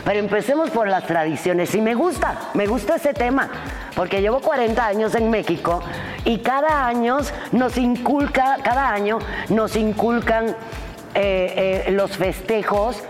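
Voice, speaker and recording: A middle-aged woman from Cuba hosting a casual podcast in a studio, exuberant and teasing, cheering loudly. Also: fairly high pitch, lively intonation, measured pace, soft background music. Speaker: podcast host.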